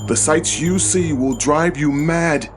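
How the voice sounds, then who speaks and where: A man's voice: fairly high pitch, slow. Solemn male Bible narrator over music. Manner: solemn, declamatory. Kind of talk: dramatized scripture reading. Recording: music behind the voice. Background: background music.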